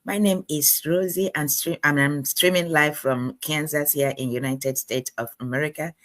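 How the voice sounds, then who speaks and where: A woman from Nigeria, middle-aged, introduces herself as a co-presenter in an earnest, halting way, restarting her sentences. Her voice is close and dry, with no room sound. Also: deep voice, fairly even intonation, fairly bright tone, no room sound. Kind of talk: self-introduction on a live stream.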